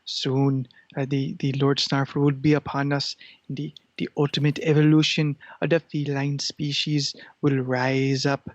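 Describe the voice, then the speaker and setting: A scheming, self-important tomcat played by a man holds forth in a comic podcast. The delivery is grandiose and halting, at a middling pitch, with a dry and slightly dull sound. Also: little pitch movement, no echo, lightly acted. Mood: pompous, menacing. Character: scheming, pompous male cat bent on ruling humans. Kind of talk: improvised comic character interview.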